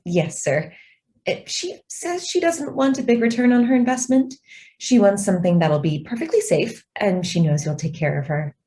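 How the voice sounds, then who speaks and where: Woman acting earnest young secretary, mellow voice. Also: medium pitch, expressive, moderate pace, lightly acted. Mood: dutiful, pressing. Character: devoted, idealistic young female office secretary. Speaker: actor. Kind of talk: radio drama acting.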